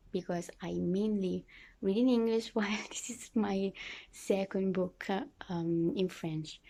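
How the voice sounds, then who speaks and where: Young woman, Italian native speaker, mellow soft tone, casual book vlog chat, lots of "you know" fillers. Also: medium pitch. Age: young adult. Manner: chatty, many fillers and restarts, occasional laughs. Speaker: book vlogger. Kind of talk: casual reading vlog talk.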